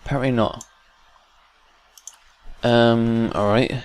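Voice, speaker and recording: A man's voice: medium pitch, steady tone, takes it slowly, clear, resonant tone. A man giving casual, chatty gameplay commentary as a let's play host. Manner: chatty, hums to self. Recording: faint game music behind.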